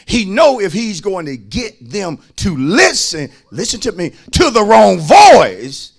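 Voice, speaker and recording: Male pastor, sermon delivery, very lively pitch, slow deliberate pace, repeated phrases. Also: gravelly. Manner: emphatic, repeats phrases, calls to the listeners for response. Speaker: pastor preaching to a congregation.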